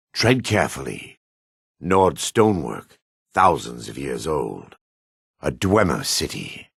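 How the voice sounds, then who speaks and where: A man voicing a gruff, wary male dark elf townsman in a fantasy video game, with a low, husky voice. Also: dry recording, clean studio sound, exaggerated voice. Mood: awed.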